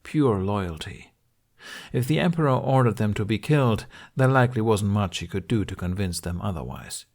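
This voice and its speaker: A man's voice: fairly low pitch, moderate pace, darkish tone. A man reading scripted story narration as an audiobook narrator.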